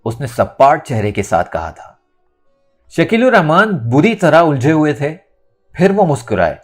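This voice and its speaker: A man's voice: on the high side, huge pitch swings, measured pace. A man reading a novel aloud as dramatic scripted narration. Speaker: audiobook narrator. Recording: music under the voice.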